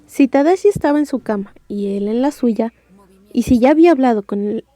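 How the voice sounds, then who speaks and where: A woman's voice: fairly high pitch, lively intonation, fairly quick, mellow, no room sound. A woman with a Mexican accent narrating an audiobook story in a wry, scripted style.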